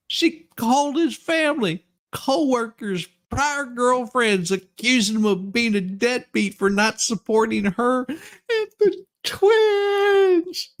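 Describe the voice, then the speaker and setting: Lady doing a sassy southern witness, crude n indignant, highish voice, drawly and stammery, comedy courtroom reading. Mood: scornful.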